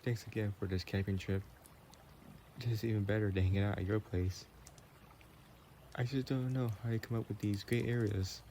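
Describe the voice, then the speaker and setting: A man playing an affectionate, playful vampire boyfriend in an intimate roleplay audio, chatting in a gentle, teasing way. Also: fairly low pitch, steady tone, moderate pace, pauses often, slight background noise, close to an everyday voice. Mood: tender, caring.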